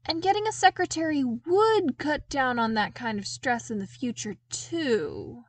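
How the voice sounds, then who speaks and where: A woman's voice: sits high, expressive, measured pace, no room sound, pitched above the performer's own voice. A woman voicing a frank, teasing, loyal male soldier in an audiobook, wry and familiar but deferential. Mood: reassuring. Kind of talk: audiobook character dialogue.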